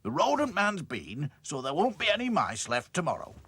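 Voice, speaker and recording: A man voicing a blustering, grumpy middle-aged male factory boss in a cartoon, with a raspy manner. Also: a little high, huge pitch swings, normal speed, fairly bright tone, no echo, voice pushed up, exaggerated voice. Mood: kindly.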